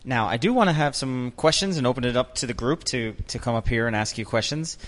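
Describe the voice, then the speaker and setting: A man moderating a conference Q&A in a measured, friendly way. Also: medium pitch, a bit bright, in a large hall. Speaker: event moderator. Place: conference room.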